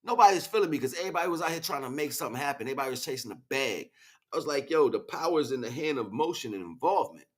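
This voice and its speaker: A man's voice: medium pitch, expressive, very fast, slightly rough. A man hosting a casual livestream, talking in a slangy, rambling, preachy way as he chats back to viewers. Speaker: livestream host. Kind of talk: casual impassioned livestream monologue.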